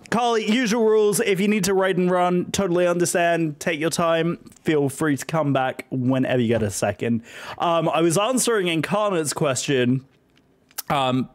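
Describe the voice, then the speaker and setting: Hoarse British man streaming, higher voice. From Britain. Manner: chatty and effusive, thanking viewers. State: hoarse, voice still recovering. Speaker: live streamer. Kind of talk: casual live-stream chat with viewers.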